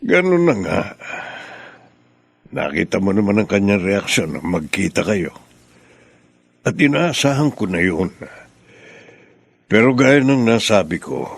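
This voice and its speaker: An actor playing a patient, reassuring middle-aged father in a Filipino radio drama, with a gravelly, husky voice. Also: deep voice, very animated, slow pace, pauses often, heavily acted. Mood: gentle, reassuring.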